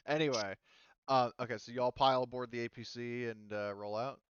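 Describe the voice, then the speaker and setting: A man's voice: medium pitch, fairly even intonation, a little fast, smooth, no echo, muffled recording. A man acting as game master, casually improvising narration in an informal, mildly profane way.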